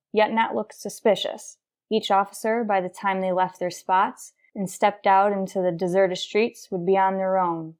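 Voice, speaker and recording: Woman playing a deadpan, no-nonsense female police detective. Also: medium pitch, natural voice. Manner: clipped, matter-of-fact, deadpan. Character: terse, dutiful female police detective narrating her case. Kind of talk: old-time radio crime drama narration and dialogue.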